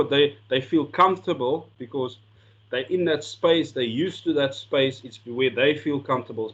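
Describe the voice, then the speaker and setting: A man's voice: mid-range voice, slightly rough. South african guy, afrikaans first language, earnest coaching talk, stumbles and repeats a lot, kinda dull lo-fi sound. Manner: earnest, repeats words as he restarts. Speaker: sport coaching lecturer. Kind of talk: presentation talk with slides.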